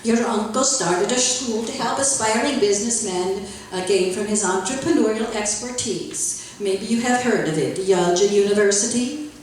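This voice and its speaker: A woman's voice: mid-range voice, moderate pace, a little hiss or hum. A woman reading scripted narration and mock advertising in old-time radio style. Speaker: narrator and sponsor announcer. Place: library meeting room, live performance. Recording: roomy echo, distant mic, music.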